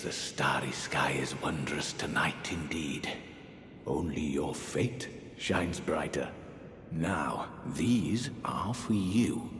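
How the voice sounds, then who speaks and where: An older man playing a genteel, eerie aristocratic sorcerer priest in a fantasy game, speaking in theatrical archaic phrases with a breathy voice that drops toward whispers. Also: heavily acted. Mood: grandly gracious. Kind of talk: dramatic fantasy game character dialogue. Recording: echoey hall reverb, faint rumbling noise.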